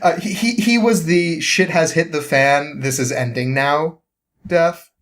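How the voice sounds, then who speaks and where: A man telling a story casually as a podcast guest, halting and rambling as he goes, with an amused manner. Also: on the high side, a bit bright.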